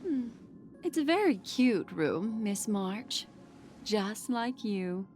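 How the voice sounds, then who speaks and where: A mysterious, seductive video game woman, elegant and teasing, with a mid-range voice. She speaks very slowly and languidly, coy and deliberate, over clearly audible music. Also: medium pitch, lively intonation, darkish tone.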